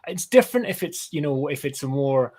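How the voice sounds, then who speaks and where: A man's voice: a little high, expressive, a little fast, darkish tone. Scottish man chatting and explaining casually. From Scotland. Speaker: guest, brand strategist and designer. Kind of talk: casual live interview chat.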